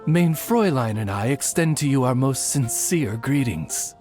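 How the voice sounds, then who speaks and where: An actor voicing a devoted raven familiar in an anime game, speaking with formal, butler-like politeness. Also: male voice, medium pitch, lively intonation, normal speed, a little mellow, faint music behind, close to an everyday voice. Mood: courteous.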